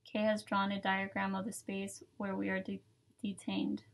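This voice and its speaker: A young woman seated at a table, reading a legal declaration aloud in a steady, measured way. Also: medium pitch, vocal fry, poor audio. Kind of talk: solemn reading of testimony. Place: indoor room with dark curtain, seated at table.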